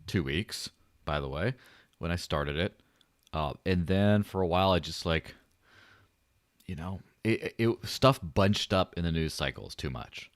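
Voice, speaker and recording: A man's voice: low voice, lively intonation, unhurried, no echo, clean studio sound. A man hosting a podcast in casual livestream chat, joking and rambling with a light, buttery voice. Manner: joking, rambling, self-deprecating. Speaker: podcast host.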